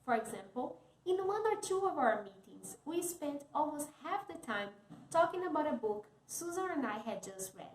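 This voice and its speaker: Woman, 30s, careful scripted delivery, a bit high, roomy sound. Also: sing-song, moderate pace, a little hiss or hum. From Brazil. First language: Portuguese. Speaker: teacher. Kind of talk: scripted talk, read aloud.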